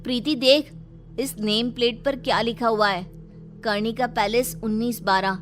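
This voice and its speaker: A woman playing a breezy, fun-loving young college friend in an audio story, chatty and expressive. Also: fairly high pitch, normal speed, faint music behind, natural voice. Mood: concerned, curious.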